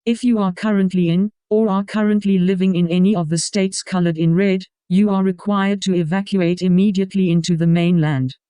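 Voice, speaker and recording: Man as high-pitched, mellow evacuation announcer. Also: steady tone, brisk, hardly any pauses, smooth, no room sound, close to an everyday voice. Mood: formal, directive. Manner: formal, instructive. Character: formal male homeland security evacuation announcer. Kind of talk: scripted emergency announcement.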